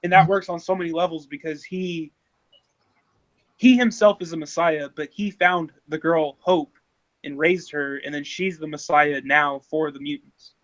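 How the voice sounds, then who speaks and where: A man in his thirties chatting casually, often stammering and restarting, growing animated when excited. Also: a little high, normal speed. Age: 30s. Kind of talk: casual chat. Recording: dull lo-fi sound.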